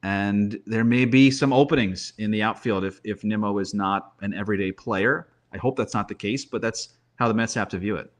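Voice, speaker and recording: A man's voice: lowish voice, normal speed, few pauses. A man giving sports commentary in conversation, making his points emphatically and slipping into storytelling. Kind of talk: animated baseball talk.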